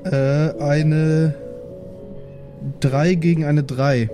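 Unhurried, higher-pitched male game player. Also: fairly even intonation, over music. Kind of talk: casual improvised game chat.

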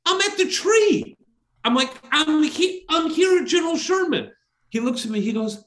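A middle-aged man with a loud voice, hosting a podcast and telling an anecdote in casual chat, exclaiming and acting out the dialogue as he goes. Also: very high-pitched. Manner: exclamatory, acts out dialogue in his stories. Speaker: podcast host.

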